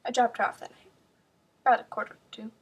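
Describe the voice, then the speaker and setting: A woman performing a dramatic stage monologue as a bewildered young student mourning her friend, halting and searching with heavy pauses. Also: fairly high pitch, level tone, breathy edge. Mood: grieving, searching. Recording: distant mic.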